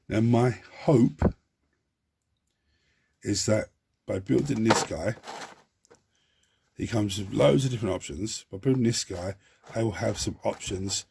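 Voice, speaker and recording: A man hosting a model-building tutorial, talking in a chatty, rambling way with a croaky, hoarse voice and many restarts. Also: lowish voice, a little mellow, a little hiss or hum. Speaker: hobby channel host.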